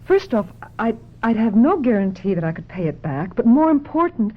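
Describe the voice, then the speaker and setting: A woman's voice: fairly high pitch, sing-song, normal speed. A woman playing a naive, weary young actress who tells her troubled story earnestly in a radio mystery drama. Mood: anxious, earnest. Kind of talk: dramatic radio mystery acting with first-person narration. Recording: dull lo-fi radio drama, music behind.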